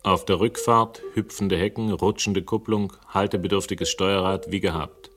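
An actor playing a young, inquisitive and polite investigator who also narrates a radio crime play in clipped, wry phrases. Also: male voice, low voice, steady tone, normal speed, slight background noise, natural voice. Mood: wry narration.

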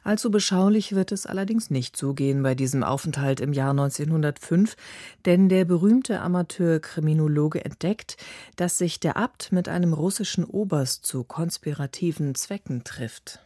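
A radio announcer presents programme previews from a script. The tone is soft-edged and dark, recorded in a very dry studio. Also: medium pitch, normal speed, dry recording.

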